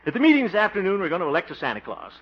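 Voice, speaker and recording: A man's voice: high voice, racing, slightly rough, full character voice. An actor playing a jovial, self-important, chuckling small-town man in a 1950s radio comedy. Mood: jovial, boastful. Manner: blustery, chuckling, excitable. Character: jovial, self-important grown son, club leader. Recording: muffled, hissy 1950s radio recording.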